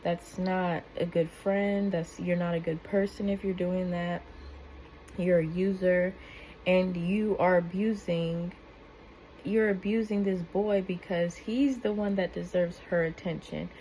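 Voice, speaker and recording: A soft-spoken woman, giving earnest casual commentary that rambles with restarts and fillers. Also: fairly low pitch, steady tone, a little slow, slight background noise, low-quality recording. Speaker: commentary vlogger.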